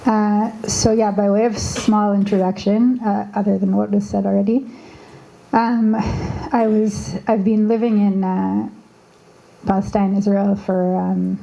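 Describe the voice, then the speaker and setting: A woman from Canada, probably in her thirties, answering as a panelist, thoughtful with many pauses and fillers. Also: medium pitch, level tone, gravelly, warm tone, rough recording.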